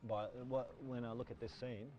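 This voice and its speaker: An Australian man chatting casually in an interview, laid-back and halting, with chuckles between phrases. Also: medium pitch, level tone, a little mellow, recorded from a distance, soft background music. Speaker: island guide and ranger being interviewed. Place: outdoors on a lake beach.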